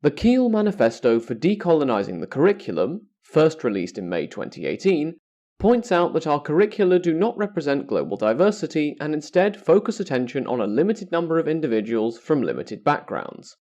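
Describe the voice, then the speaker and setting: A man's voice: a little high, big pitch swings, fairly quick, mellow, lo-fi sound. A man hosting an educational podcast, reading scripted narration in a formal, carefully structured way.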